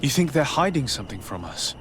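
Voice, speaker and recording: A courteous diplomat hero in a fantasy game. His voice is mid-pitched, calm and empathetic, over audible ambient noise. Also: fairly quick. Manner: courteous, even-tempered.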